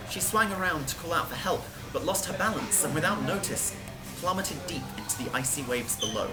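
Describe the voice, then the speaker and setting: A woman's voice: sits low, poor audio. A woman reading a scripted story aloud as a narrator, with music behind her. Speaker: reader.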